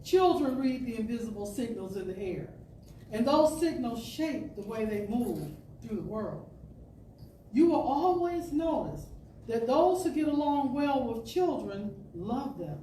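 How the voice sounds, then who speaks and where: A woman with a high voice reads an inspirational spiritual talk as a storyteller would. She takes her time, phrasing deliberately and pausing for emphasis. The sound is echoey, as in a large indoor space. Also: very animated, mellow. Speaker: storyteller and speaker at a spiritual center. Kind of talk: expressive reading of an inspirational talk. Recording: distant roomy pickup with background noise.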